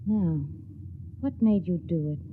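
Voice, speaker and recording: An actress playing a brisk, caring young rocket ship stewardess in a 1950s radio drama, with a soothing manner. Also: female voice, fairly low pitch, natural voice. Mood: sympathetic. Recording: dull 1950s radio recording, faint noise.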